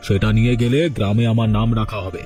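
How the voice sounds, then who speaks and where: A man's voice: lowish voice, fairly even intonation, fast talker, natural voice. A man voicing a proud village headman in a cartoon, authoritative and coaxing. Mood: scheming. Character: village headman and teacher, proud, two-faced. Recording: soft music under the voice.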